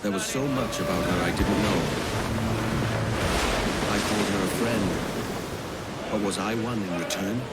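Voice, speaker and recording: An actor playing a frank, roguish pirate captain in a game cutscene. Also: male voice, on the low side, a little slow, long pauses, very noisy, close to an everyday voice. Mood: wistful. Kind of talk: dramatic game cutscene acting.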